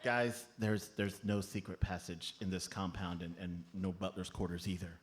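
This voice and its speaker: A man's voice: low voice, flat delivery, moderate pace, close to an everyday voice. A man playing a tiny, solemn elf foreman with a long-winded official manner in a live comedy radio play. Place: live on stage at a comedy club.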